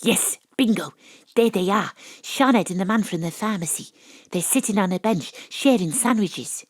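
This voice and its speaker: A woman's voice: medium pitch, expressive, normal speed, dry recording, natural voice. A woman playing a brash, cocksure amateur detective in an audio drama, narrating theatrically with a knowing, conspiratorial manner. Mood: confident explaining.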